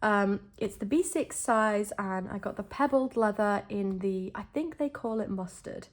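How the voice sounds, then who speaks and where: A young woman vlogging while she sets up a planner, her voice croaky after the flu, chatty and enthusiastic with many fillers. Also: medium pitch, measured pace. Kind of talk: casual chat while setting up a planner.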